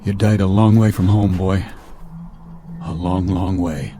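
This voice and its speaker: Stern, low-voiced space captain. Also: male voice, steady tone, a little slow, mellow, slight background noise. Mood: grim resolve. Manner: authoritative, clipped orders. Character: stern, weary spaceship captain, stranded commanding officer. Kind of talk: radio drama acting.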